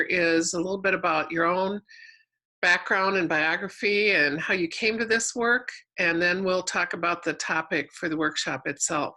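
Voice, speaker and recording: Bright-voiced woman hosting an interview. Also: on the low side. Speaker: interviewer and host. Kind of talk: conversational interview introduction.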